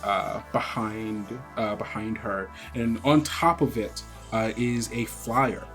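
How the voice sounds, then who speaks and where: A man hosting as game master, narrating in a casual, improvised, conversational and rambling way. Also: medium pitch. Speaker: game master, podcast host.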